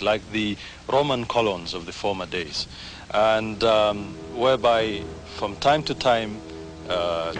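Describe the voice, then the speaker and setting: A man answering an interview in a thoughtful, hesitant way, with pauses and fillers. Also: fairly low pitch, fairly bright tone.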